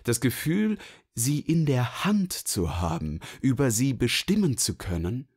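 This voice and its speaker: A man reading scripted narration in a measured way. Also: medium pitch, big pitch swings, a little mellow, breathy edge.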